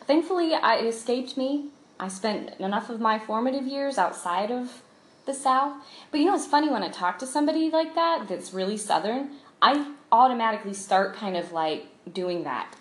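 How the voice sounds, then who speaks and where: A woman's voice: a little high, lively intonation, normal speed. A woman co-hosting a podcast in bubbly, playful, jokey casual chat. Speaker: podcast co-host.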